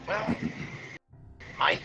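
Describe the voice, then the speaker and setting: A man's voice: extremely slow, pushed and bright. A man chatting casually as a player in a tabletop role-playing game. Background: music.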